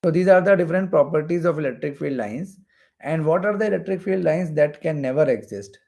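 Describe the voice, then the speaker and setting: A male physics instructor gives a step-by-step lecture. His voice is creaky and somewhat mellow, and he often restates a phrase to drive it home. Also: on the high side, brisk. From India. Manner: repeats phrases for emphasis. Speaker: physics teacher for exam preparation. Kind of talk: explanatory lecture while drawing diagrams.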